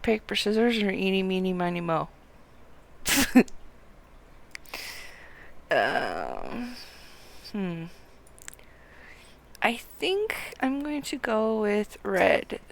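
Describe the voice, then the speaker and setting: A female streamer commenting casually on a game as she plays. Her voice is gravelly with fry and mid-pitched, and she talks slowly. She thinks aloud and pokes fun at herself. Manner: self-mocking, thinks aloud. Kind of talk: casual live playthrough commentary, reading dialogue aloud.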